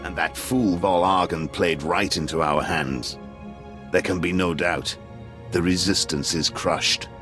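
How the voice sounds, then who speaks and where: Casting: stern Imperial colonel, deep register, formal and pompous, game cutscene with strong music bed. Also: male voice, steady tone, measured pace. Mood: grave, confident. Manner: formal, pompous, deferential military briefing. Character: stern male Imperial colonel, patriotic military commander. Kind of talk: scripted game cutscene acting.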